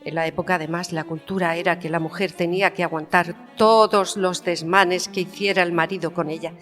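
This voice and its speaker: Actress doing a proud spanish queen, kinda low, frank and measured, big echo, strings behind. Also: steady tone, a bit bright, faint music behind, lightly acted. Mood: bitter, frank. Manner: formal, measured, pointed. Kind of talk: dramatised historical interview. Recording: long hall reverb.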